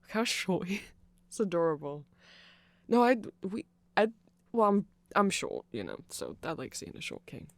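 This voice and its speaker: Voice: mid-range voice, very animated, normal speed, dry recording. A person giving a chatty, rambling ASMR-style fan explainer in a playful, informal way. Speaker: ASMR creator explaining band lore.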